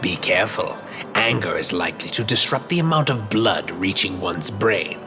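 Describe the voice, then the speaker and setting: Deep, gravelly, condescending sage. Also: male voice, expressive, normal speed, over music. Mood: cool condescension. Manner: formal, archaic, condescending. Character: haughty, composed learned man, coolly needling rivals. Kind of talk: scripted fantasy video game acting.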